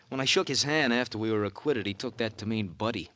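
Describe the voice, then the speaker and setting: An actor playing a glib, wry young husband in a 1950 radio crime drama, breezy and sardonic. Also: male voice, fairly low pitch, fairly quick, fairly bright tone, close to an everyday voice. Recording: old radio recording with faint noise.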